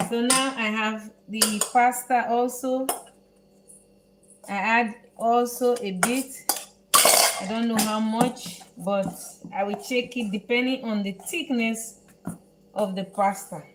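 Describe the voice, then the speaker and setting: A Dutch woman with a Dutch accent hosts a live cooking lesson at a very slow, unhurried pace, her voice sitting a touch high for a woman. She talks in halting bits and repeats words while she works. The home kitchen sounds roomy and echoey under a faint hiss. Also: steady tone. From Netherlands. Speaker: home cook host.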